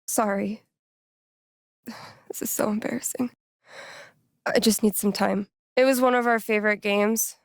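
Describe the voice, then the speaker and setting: A woman's voice: medium pitch, fairly even intonation, measured pace, vocal fry, pauses often, no echo, clean studio sound. An actress playing a wry, casual young woman in a video game, teasing and loyal. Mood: subdued, wistful. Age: young adult. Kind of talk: naturalistic video game dialogue acting.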